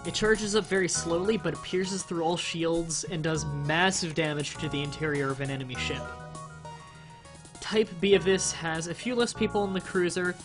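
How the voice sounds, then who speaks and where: A man's voice: a little high, moderate pace, music in the background. Sick young man, nasal and rambling. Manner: rambling, explains game mechanics with fillers and restarts. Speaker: game streamer. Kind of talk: casual let's-play commentary.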